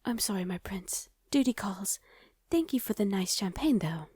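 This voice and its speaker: Woman playing a breathy, anxious young princess. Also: mid-range voice, lively intonation, normal speed, a little mellow, no room sound, studio quality. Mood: relieved, gracious. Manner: breathy, genteel, hesitant. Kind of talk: romantic ASMR roleplay acting.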